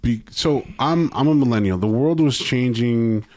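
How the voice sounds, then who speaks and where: An American man in his thirties talks as a podcast host in a relaxed, conversational style. His voice sits at a middle pitch and slips into quoted asides as he tells things. The recording is close and dry, with little room sound. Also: normal speed, no room sound, soft background music. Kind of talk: casual podcast chat.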